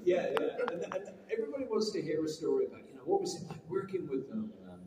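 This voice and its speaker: A man's voice: high voice, huge pitch swings, quick pace. A man in his sixties with a British accent tinged with Canadian, a chatty and self-mocking guest actor telling stories on a convention panel. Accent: British with a bit of Canadian. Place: large convention panel hall. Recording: echoey hall from far off with crowd noise.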